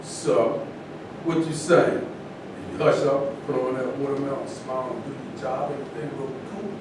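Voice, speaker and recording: A man's voice: level tone, moderate pace, natural voice. A man in a staged reading, playing an outspoken, scornful and militant athlete. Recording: echoey room from far off, rumbling noise.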